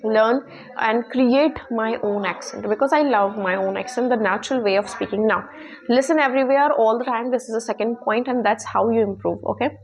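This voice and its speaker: A woman with an Indian accent giving an informal English lesson, often repeating herself and checking in with "okay" and "right". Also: on the high side. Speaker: online English teacher and YouTuber.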